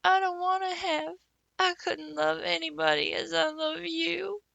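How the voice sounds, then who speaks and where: A woman voicing a tearful, devoted young girl who pleads and sobs in a high voice. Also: expressive, a little slow, vocal fry, pushed and bright, no echo, full character voice.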